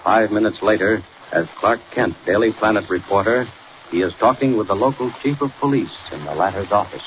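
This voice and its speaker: A man's voice: lowish voice, a little fast. A man narrating a radio serial as announcer, in a melodramatic, suspenseful 1940s style. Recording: muffled, noisy 1940s radio recording.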